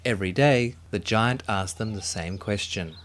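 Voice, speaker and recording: A man narrating a children's story in an expressive, dramatic way, with music behind him. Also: on the low side, moderate pace, a little hiss or hum.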